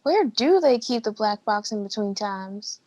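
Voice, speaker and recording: A woman's voice: mid-range voice, lively intonation, unhurried, darkish tone, no echo. A woman in an amateur play acting a friendly, curious small-town villager. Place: filmed on a video call.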